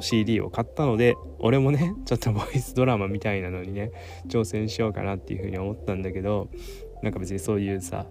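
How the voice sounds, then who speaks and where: A man's voice: lowish voice, creaky. A man hosting a casual, rambling solo talk in a giggly, self-amused manner. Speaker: host of a solo talk show. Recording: music playing behind the voice. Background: background music.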